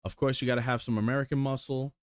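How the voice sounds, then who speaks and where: A man in his forties chatting casually and excitedly about his sim racing cars. He rambles, swears casually and fills pauses with lots of ums. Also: medium pitch, dry recording, studio quality. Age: 40s. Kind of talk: casual chat while showing cars.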